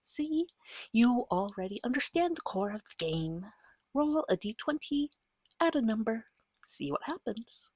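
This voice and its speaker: Woman, soft breathy ASMR voice, slipping into whispers, slow and gentle, close dry sound, reassuring game master. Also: a little high, big pitch swings, dry recording. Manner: gentle, patient, reassuring, unhurried. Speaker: game master guiding a beginner player. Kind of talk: soft-spoken ASMR roleplay tutorial.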